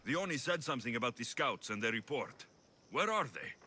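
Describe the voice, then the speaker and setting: A man playing a gruff, hot-tempered, sardonic Greek mercenary with a Greek accent in a video game cutscene, speaking bluntly. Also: mid-range voice, sing-song, fairly quick, slight background noise. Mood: urgent. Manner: forceful, blunt.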